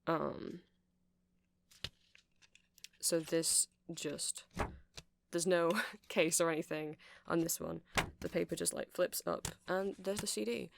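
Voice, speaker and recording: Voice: mid-range voice, steady tone, takes it slowly, creaky, pauses often, background noise. A performer playing a nerdy, earnest vampire who sells music in a shop, in a hesitant, rambling ASMR roleplay full of self-corrections. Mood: enthusiastic, nerdy. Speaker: shop seller.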